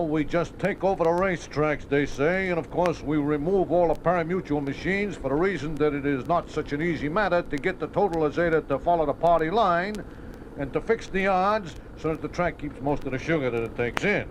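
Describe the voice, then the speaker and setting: An American actor playing a folksy, defensive racetrack bookie in a 1950s radio drama, talking in streetwise slang with stammered repetitions. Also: male voice, on the high side, moderate pace, lightly acted. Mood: explaining, self-justifying. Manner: streetwise slangy talk, hesitant repetitions, aggrieved. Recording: noisy old radio broadcast recording.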